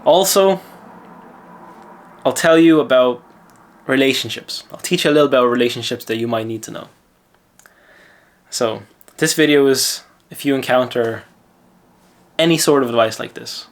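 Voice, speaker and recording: A man's voice: mid-range voice, moderate pace, long pauses. A man from Lithuania with an Irish accent, a mindset coach telling a gym story in casual talk and then giving self-assured advice. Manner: chatty, self-assured, lecturing.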